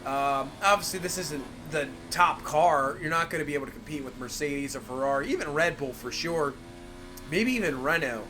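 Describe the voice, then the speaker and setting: A man's voice: on the high side, moderate pace, over music. A man with a cold, rambling through casual gameplay commentary and leaning on fillers like "obviously" and "for sure". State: has a cold. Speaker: gaming channel host.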